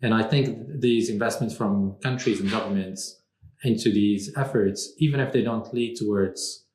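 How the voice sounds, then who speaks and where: Low-voiced Moroccan-born man in echoey room. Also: a little mellow, slight background noise. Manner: measured, thoughtful, frequent "uh" pauses. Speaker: podcast guest, strategy consultant. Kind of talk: conversational interview.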